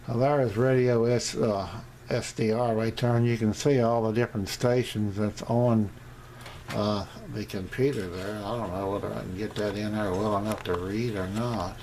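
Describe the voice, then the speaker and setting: A man's voice: fairly low pitch, fairly even intonation, moderate pace, darkish tone. A man hosting a casual amateur radio demonstration, talking in a hesitant, meandering, plain-spoken way on a noisy home video. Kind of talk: rambling casual demonstration to camera. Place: indoor home radio shack.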